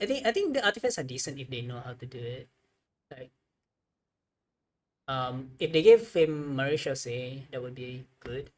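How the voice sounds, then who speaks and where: A game streamer chats casually during a livestream in a medium-pitched voice. The delivery is unscripted and rambling, thinking out loud. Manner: rambling, musing aloud.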